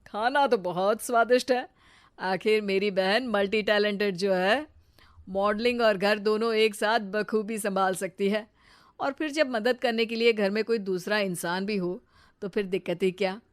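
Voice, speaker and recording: A woman's voice: medium pitch, a little slow, no room sound, clean studio sound, lightly acted. A woman voicing a proud, supportive brother in a moral story, speaking in a praising, reassuring way.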